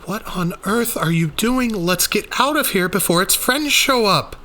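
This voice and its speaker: A tough, wry woman sidekick acted out by a man in an audio horror story. The voice is lifted and very bright, with an impatient, sarcastic edge. Faint noise sits behind it. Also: sits high, animated, unhurried, pitched above the performer's own voice, full character voice. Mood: alarmed. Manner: sarcastic, exasperated.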